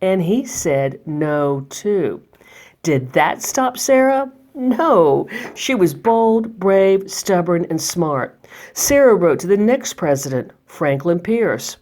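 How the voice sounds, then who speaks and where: A woman reading a children's picture book aloud as a library storytime reader, expressive and emphatic, pausing for effect. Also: fairly low pitch.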